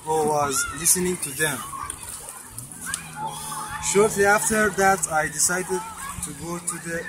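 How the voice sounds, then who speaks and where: A man with a Persian accent, a native Farsi speaker, slowly giving a faith testimony with many pauses and self-corrections. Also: high-pitched. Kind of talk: slow read-aloud testimony. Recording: noisy recording.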